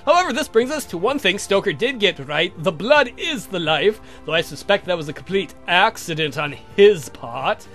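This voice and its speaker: Guy doing a suave snooty vampire from a visual novel, highish voice swooping around, formal and lecturing, soft music behind. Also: lively intonation, moderate pace, few pauses. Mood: smug. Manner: formal, theatrical, condescending.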